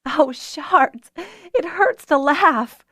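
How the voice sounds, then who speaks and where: A woman's voice: mid-range voice, unhurried, no room sound, clean studio sound, natural voice. A woman voicing a distressed, wounded young female dragon rider who is emotional and apologetic, in an audiobook story. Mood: giddy, hysterical.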